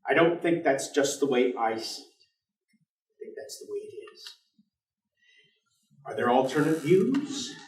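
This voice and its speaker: Bleak professor in an echoey theatre. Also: male voice, a little high, wildly swooping pitch, measured pace, long pauses. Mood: bleak, resigned. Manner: formal, articulate, fatalistic. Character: bleak, world-weary, courteous male professor. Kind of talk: staged dramatic reading. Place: theatre stage. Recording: echoey theatre, distant microphone.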